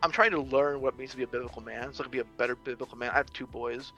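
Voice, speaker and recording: A young adult man talks casually, circling back and restarting his sentences as he works through a point. His voice is bright and forceful, and faint music sits in the background. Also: mid-range voice, steady tone, racing, low-quality recording. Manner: rambling with many restarts and fillers. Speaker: social media creator on biblical masculinity. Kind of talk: casual conversation.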